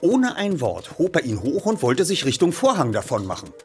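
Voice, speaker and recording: A man presenting and reading a story aloud in a lively narrating style. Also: fairly high pitch, normal speed, soft background music. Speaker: audiobook reader and presenter.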